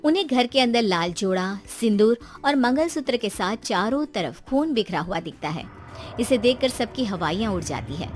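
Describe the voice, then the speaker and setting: A woman's voice: on the high side, lively intonation, normal speed. A woman narrating a scripted story in a dramatic storytelling style.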